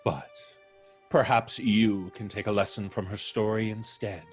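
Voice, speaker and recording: A man hosting a live show, speaking in a measured, theatrical way as he chats with performers. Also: on the low side, steady tone, faint music behind. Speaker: podcast host. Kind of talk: warm-up chat after a live show.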